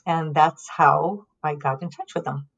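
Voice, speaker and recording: A middle-aged woman from Lebanon, a podcast guest, telling her story calmly and reflectively with frequent pauses and ums. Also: low voice, breathy edge, highs cut off. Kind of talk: conversational storytelling.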